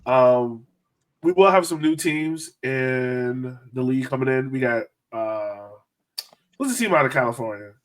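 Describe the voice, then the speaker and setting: A man's voice: medium pitch, lively intonation, a little slow, poor audio. A man co-hosting a casual sports podcast, talking in a slangy, storytelling way. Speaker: podcast co-host.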